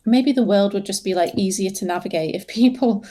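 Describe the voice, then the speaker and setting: Mellow-voiced English woman chatting. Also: medium pitch, brisk. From England. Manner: chatty, hesitant, many fillers and restarts. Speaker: guest, social media manager. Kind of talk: casual podcast interview.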